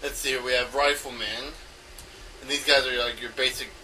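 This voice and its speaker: Hesitant man, very sharp bright voice, distant mic. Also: mid-range voice, steady tone. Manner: hesitant, halting, thinking aloud. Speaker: amateur game designer recording an audio log. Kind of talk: unscripted rambling explanation. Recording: noisy home recording, distant from mic.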